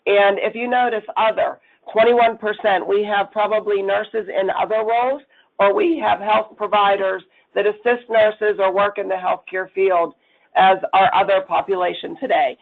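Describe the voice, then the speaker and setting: A middle-aged woman with a raspy voice, hoarse from a cold, giving a webinar presentation as a nursing executive. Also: mid-range voice, steady tone, moderate pace. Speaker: chief nursing officer presenting. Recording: phone-line audio.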